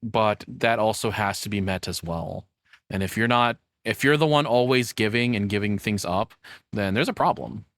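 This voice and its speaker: A man with a lowish voice talks off the cuff as a streamer reacting to a video. He rambles in a blunt, casual way. The sound is dry, with very little room to it. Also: normal speed, a bit bright, no echo.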